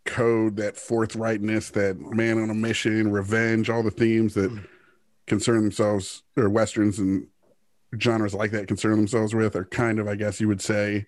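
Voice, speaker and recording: A man's voice: lowish voice, flat delivery, unhurried, a little mellow, no room sound. A man hosting a podcast, talking bluntly and casually with crude jokes. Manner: blunt, profane, joking. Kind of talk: casual chat.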